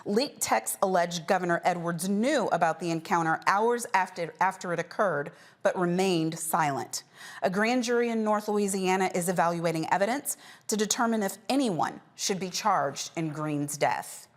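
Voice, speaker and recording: A woman news anchor reading scripted news in a measured, formal delivery. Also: on the low side.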